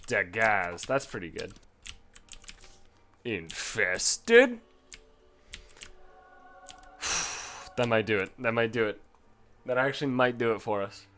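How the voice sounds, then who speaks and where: A man casually commentating his own gameplay, swearing freely and bursting into frustrated yells. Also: on the low side, very animated, takes it slowly, pauses often. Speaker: gaming commentator. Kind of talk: casual let's play commentary.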